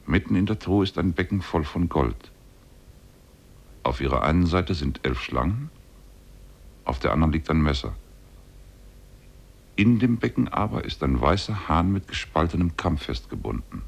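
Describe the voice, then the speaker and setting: A scheming demon ape speaks in an extremely low, creaky voice. The creature gives deliberate commands like incantations, in the manner of a fifties radio play. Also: male voice, lively intonation, full character voice. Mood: commanding, insistent. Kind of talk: 1950s German radio drama acting.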